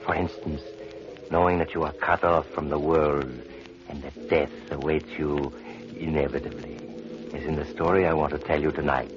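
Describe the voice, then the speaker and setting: An actor playing a sinister male host who tells a horror tale in slow, menacing 1940s radio style, heard on an old broadcast with music behind. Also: a bass voice, steady tone, highs cut off. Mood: ominous. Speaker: narrator.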